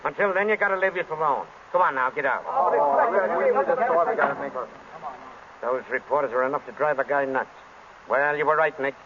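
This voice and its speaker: A man playing a harried, blunt police inspector in 1940s radio mystery style, talking brisk and colloquial. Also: mid-range voice, over a noisy crowd, close to an everyday voice. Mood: exasperated. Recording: muffled old radio recording, faint noise.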